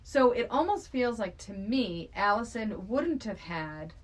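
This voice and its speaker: A woman's voice: a little high, big pitch swings, unhurried. A middle-aged woman chatting casually and frankly on a podcast, conversational and opinionated. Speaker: podcast co-host. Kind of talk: casual film discussion.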